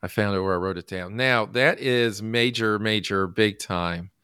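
A man from the United States hosting a casual podcast interview, halting with many fillers and restarts. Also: fairly low pitch, pushed and bright, smooth, dry recording, clean studio sound. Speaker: podcast host.

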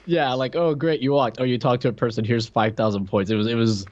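A man's voice: medium pitch, fairly even intonation, fast talker, a little mellow, no echo, highs cut off. A man co-hosting a podcast, chatting casually and commenting on television. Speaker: podcast co-host.

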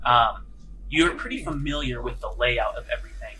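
A man narrating a tabletop role-playing game as game master, in informal, improvised, halting phrases. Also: medium pitch, pushed and bright, a little hiss or hum, poor audio.

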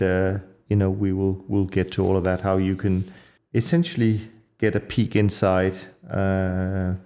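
A man hosting a podcast interview, talking hesitantly with many pauses and restarts. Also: on the low side, a little mellow. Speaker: podcast host. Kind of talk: casual interview.

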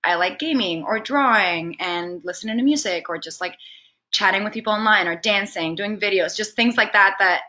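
A woman from Venezuela with Spanish as her native language, talking casually and expressively as an interview guest. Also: medium pitch. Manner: chatty, expressive, quick to laugh. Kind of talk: casual conversational interview, personal stories.

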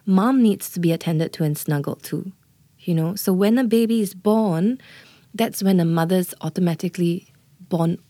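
An earnest woman doula talking through birth and motherhood, pausing to ask "right?" as she goes. Her voice sits a touch low and is recorded dry and close, with no room sound. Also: mellow, no room sound. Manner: earnest, often checks in with "right?" and "you know". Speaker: doula, guest. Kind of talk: explanatory interview talk.

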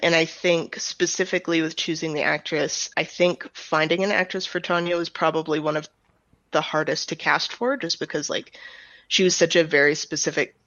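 A woman's voice: sits low, steady tone, normal speed, fairly bright tone, dry recording, dull audio. An American woman giving casual, explanatory answers as an interview guest. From United States. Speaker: audio drama writer and director, interview guest.

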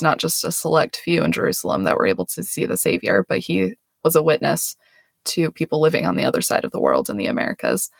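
Gravelly-voiced earnest woman host. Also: low voice, no room sound. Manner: earnest, self-deprecating, laughs often. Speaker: podcast host. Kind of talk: casual religious explanation.

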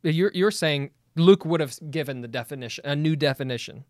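A man's voice: on the high side, dry recording, studio quality. Eager man pushing back in a debate. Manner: quick, eager, stumbling restarts while pushing back. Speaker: guest in a debate. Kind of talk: debate conversation.

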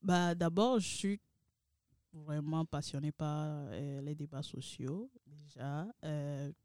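A woman's voice: sits low, steady tone, slow pace, a little mellow, no room sound. Young woman, dry close sound. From Togo. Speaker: radio team researcher introducing herself. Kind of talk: casual chat.

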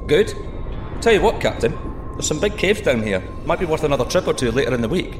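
An actor playing a plucky, excitable male astronaut in a sci-fi audio drama, breezy and cocky between clipped technical reports. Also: fairly high pitch, fast, reverberant, over music. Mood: eager, assured. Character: plucky, excitable male astronaut piloting a deep-sea microsub.

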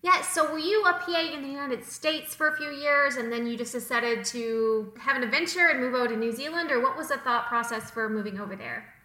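A woman's voice: sits high, brisk, hardly any pauses, bright, forceful tone. A woman co-hosting a podcast, interviewing a guest with enthusiastic, encouraging remarks. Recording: roomy echoey sound.

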